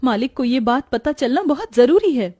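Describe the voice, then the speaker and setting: Woman acting anxious high-pitched young maidservant. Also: expressive, normal speed, mellow, no room sound. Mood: worried. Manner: earnest, pleading, deferential. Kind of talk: Hindi moral-story cartoon acting.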